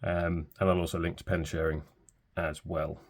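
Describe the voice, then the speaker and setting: Male pen reviewer in his forties, very low and gravelly, congested from a cold, unhurried and full of ums. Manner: relaxed, hesitant, many fillers. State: stuffy from a cold. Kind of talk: casual product review.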